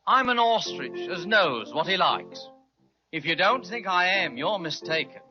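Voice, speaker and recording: A man's voice: high voice, sing-song, unhurried. A man playing a chatty, fussy, boastful ostrich with a British accent in an old-time children's radio serial. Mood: huffy, proud. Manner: chatty, fussy, theatrical, breaks into singing. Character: jaunty, boastful, delicate talking male ostrich. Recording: muffled old radio recording, music behind.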